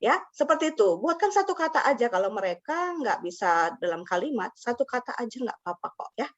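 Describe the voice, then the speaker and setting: A woman's voice: on the high side, big pitch swings, a little fast. Chatty woman presenter on a lo-fi video call. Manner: chatty, mixes English words, laughs often. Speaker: webinar presenter for teachers. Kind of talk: lively online teacher-training talk. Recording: slightly dull lo-fi call audio.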